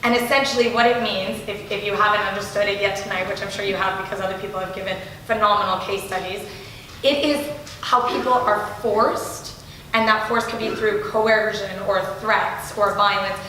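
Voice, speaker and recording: A woman with a slightly hoarse, bright voice gives a live talk to an audience. She is keen and enthusiastic, often restarting her phrases. The sound is very echoey with a faint hum behind. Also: medium pitch, steady tone, normal speed. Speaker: guest speaker from a foundation. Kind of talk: live speech at an event. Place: large room, audience present. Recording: very echoey room, faint noise.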